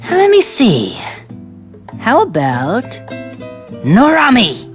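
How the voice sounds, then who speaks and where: Lively, chatty, boyish young soldier. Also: female voice, on the high side, very slow, soft background music, exaggerated voice. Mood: teasing, playful. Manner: chatty, informal, earnest. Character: cheerful, talkative, boyish young soldier, earnest and teasing. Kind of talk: English video game voice acting.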